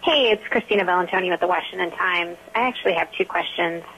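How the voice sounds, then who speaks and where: A woman's voice: lowish voice, fast, a bit bright, slightly rough. A woman, a newspaper reporter, asking questions casually over a telephone conference call. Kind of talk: casual spoken press question. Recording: dull telephone line with faint hiss.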